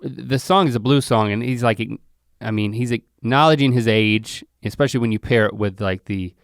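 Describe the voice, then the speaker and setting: An easygoing man in a casual chat, talking in a relaxed, philosophical way. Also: mid-range voice, normal speed, clear, resonant tone, no room sound, studio quality.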